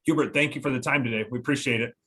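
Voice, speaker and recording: Male moderator, medium pitch, brief procedural lines on a conference call. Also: fairly even intonation, racing, a bit bright, breathy edge.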